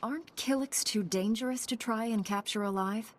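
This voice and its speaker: A woman's voice: fairly high pitch, moderate pace, lightly acted. A woman playing a principled young Jedi knight in a video game, speaking in a composed, formal, firm manner. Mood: questioning. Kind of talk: scripted video game dialogue.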